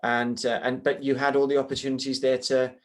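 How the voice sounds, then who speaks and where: A man's voice: medium pitch, level tone, a little fast. Middle-aged man, martial arts teacher, rambling interview answers full of ums, video call. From Wales. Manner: rambling, many ums and restarts.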